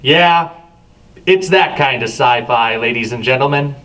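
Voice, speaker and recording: A man's voice: mid-range voice, a little slow, pushed and bright. A man hosting a review with scripted commentary and a wry, sardonic manner. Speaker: reviewer, show host. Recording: distant from the microphone.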